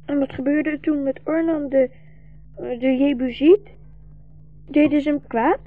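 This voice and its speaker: A woman's voice: high-pitched, fairly even intonation, measured pace, no echo, soft background music. A woman playing a young boy, anxious, devoted and trusting, with a childlike pleading manner in a 1960s radio drama. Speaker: radio play actor. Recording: muffled 1960s radio broadcast recording.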